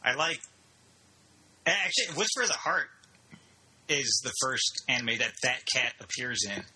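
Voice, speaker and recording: A man's voice: medium pitch, big pitch swings, measured pace, bright, forceful tone, slightly rough. A man chatting casually with enthusiasm and strong opinions. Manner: enthusiastic, opinionated, says "dude". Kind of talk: casual chat.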